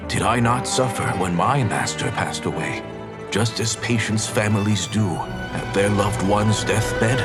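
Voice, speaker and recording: An actor playing a dying elderly physician in a game, speaking in a solemn, grave way. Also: male voice, lowish voice. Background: game score music.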